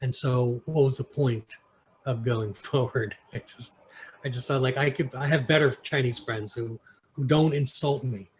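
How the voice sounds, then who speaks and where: A man's voice: mid-range voice, fairly even intonation, darkish tone, a little hiss or hum, rough recording. A man from New York with an American accent, talking casually as an interview guest and searching for words. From United States, New York. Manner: halting, searching for words, thoughtful.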